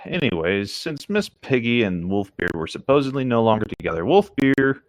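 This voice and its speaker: A man's voice: medium pitch, big pitch swings, moderate pace, no echo. A man reading a story aloud as a co-host, casual, with reflective, easygoing asides. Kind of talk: casual story narration with commentary.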